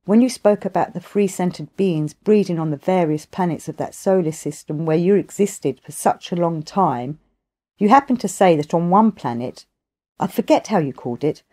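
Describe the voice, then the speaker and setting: A woman's voice: on the low side, warm tone. A woman voicing a curious, eager young grandson in an audiobook, speaking politely and inquisitively.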